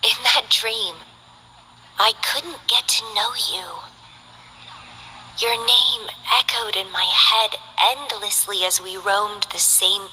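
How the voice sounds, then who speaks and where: A woman's voice: a little high, pushed and bright, in a large hall, slight background noise, exaggerated voice, low-quality recording. A woman voicing a languid, bored young mercenary who loves plants, in game character voice lines with a lazy, teasing drawl.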